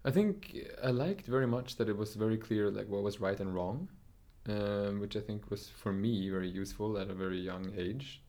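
A young man from Norway talks in a low voice with a gravelly fry. He speaks thoughtfully and hesitantly, full of fillers and self-corrections, as he tells his story in a relaxed interview. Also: normal speed, hardly any pauses. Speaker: dancer, interview guest. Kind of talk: casual interview talk.

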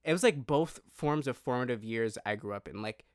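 Podcast co-host: American man, twenties, casual and slangy, rambling delivery, mid-pitched voice, close dry sound. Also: animated, brisk, smooth, dry recording. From New York and New Jersey, United States. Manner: rambling, slangy, self-interrupting, heavy use of "like". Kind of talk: casual chat.

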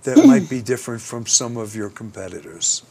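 A man's voice: lowish voice, big pitch swings, fairly quick, a little mellow, husky. American guy interviewing guests, talks a lot, leans hard on words, leading questions. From United States. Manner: talkative, leading questions, emphatic rises. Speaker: interview host. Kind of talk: conversational interview.

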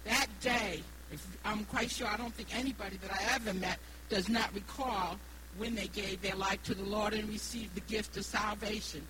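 A woman in her sixties with a New England accent preaches haltingly, repeating phrases and stressing key words. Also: medium pitch, steady tone. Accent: New England American. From Rhode Island, United States. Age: 60s. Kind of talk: evangelical sermon with personal testimony. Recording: noisy live recording with hum.

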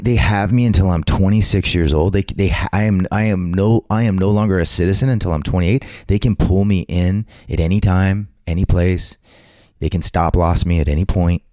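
A man's voice: sits low, a little mellow, no echo, clean studio sound. A man, telling a personal story in a casual chat with lots of restarts. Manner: storytelling, frequent restarts and acted-out quotes. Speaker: podcast guest.

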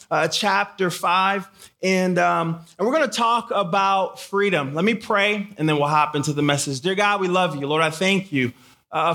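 A man's voice: sits high, pushed and bright. An American man preaching conversationally to a live audience of teens, casually repeating phrases and putting questions to his listeners. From born in Haiti, grew up in Michigan. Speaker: guest camp speaker, preacher.

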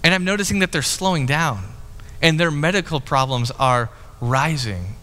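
Man, 30s, pastor; conversational sermon, higher voice, reverberant hall. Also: moderate pace, pushed and bright. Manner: conversational, candid, with frequent restarts and "like".

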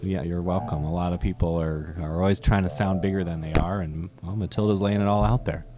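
Deep-voiced dry comedy podcast host. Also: male voice, fairly even intonation, fairly quick. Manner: dry, mock-polite, playing it straight. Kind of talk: improvised comedy podcast banter.

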